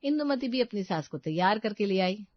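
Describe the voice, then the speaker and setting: A woman's voice: mid-range voice, animated, moderate pace, dry recording, muffled recording. A woman reading scripted narration for a story as its narrator.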